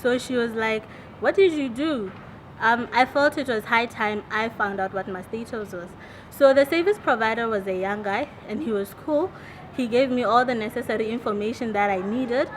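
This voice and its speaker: A woman in her twenties from Botswana, telling her story in an animated way. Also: a little high, normal speed, slight background noise, poor audio. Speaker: interviewee. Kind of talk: personal testimony.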